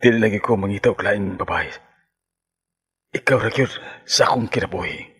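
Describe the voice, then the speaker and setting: A man's voice: fairly low pitch, normal speed, fairly bright tone, husky. A man with a Cebuano accent acting an earnest, sympathetic suitor, speaking sincerely and measuredly in a radio drama. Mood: tender, earnest. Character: earnest, sympathetic suitor courting a married woman.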